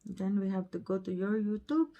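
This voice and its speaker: A woman's voice: mid-range voice, lively intonation, moderate pace, mellow, lo-fi sound. A woman with a Filipino accent chatting casually in non-native English as she presents an unboxing vlog. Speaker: vlogger.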